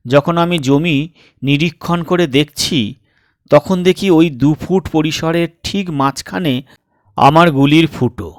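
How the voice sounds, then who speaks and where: A man narrating a scripted suspense story dramatically, in measured short phrases with pauses. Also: a little high, dry recording, clean studio sound. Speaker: storyteller, narrator of audio stories.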